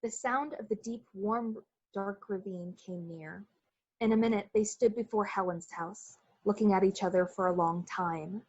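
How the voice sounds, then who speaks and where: A woman's voice: medium pitch, normal speed, a little mellow, slightly rough, lo-fi sound. A woman giving scripted literary narration as the storyteller of an old-fashioned radio play.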